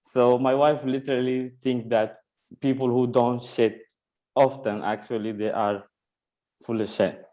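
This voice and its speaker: Male comic from Sudan, non-native English, halting, pause-filled delivery. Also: medium pitch, steady tone, warm tone. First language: not English. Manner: halting, many "uh" pauses, searching for words. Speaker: stand-up comedian. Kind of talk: stand-up set.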